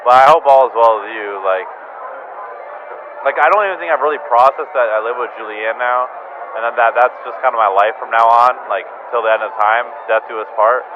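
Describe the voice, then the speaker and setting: A low-energy, downcast man rambling through a confessional voicemail, halting and repeating himself, casual and prone to swearing. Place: bar, sitting alone. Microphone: phone voicemail recording. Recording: muffled sound, loud bar noise. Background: loud bar ambience.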